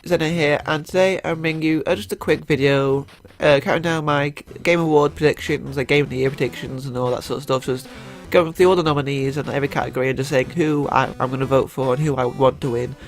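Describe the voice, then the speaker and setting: Stuffed-up man with a gravelly voice. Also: fairly high pitch. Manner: rambling, many fillers and restarts. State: stuffed up with a cold. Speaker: YouTuber. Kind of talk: casual gaming commentary.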